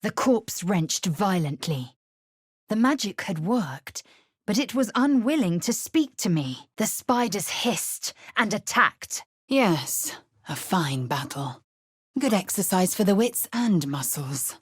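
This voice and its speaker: Fierce alien warrior woman, raspy, unhurried, imperious, disdainful, clipped formal phrasing, video game character. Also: medium pitch, very animated, no room sound, clean studio sound, exaggerated voice. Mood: proud, scornful. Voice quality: husky, raspy.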